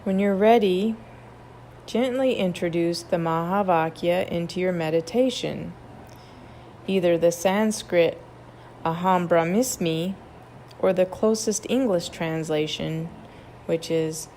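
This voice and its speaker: Slow, low-voiced woman guiding meditation. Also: a little hiss or hum. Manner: gentle, measured, instructional. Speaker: meditation guide. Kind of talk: guided meditation narration.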